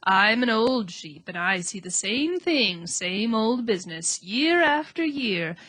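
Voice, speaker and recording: A woman's voice: a little high, lively intonation, slow pace, a bit bright, heavily acted. A woman voicing an old female sheep, blunt and gloomy, with a grim, matter-of-fact manner that turns snappish.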